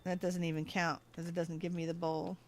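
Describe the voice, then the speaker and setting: A let's-play host talks through a mining game in easy, chatty commentary. They think aloud, ask themselves questions and answer them. The pitch sits in a plain middle range. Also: little pitch movement, a little fast. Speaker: gaming channel host.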